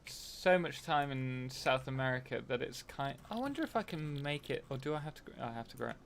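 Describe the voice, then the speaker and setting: A man's voice: medium pitch, animated, a little fast, vocal fry, a little hiss or hum. A young man hosting a casual live stream while playing a game, rambling and answering chat messages.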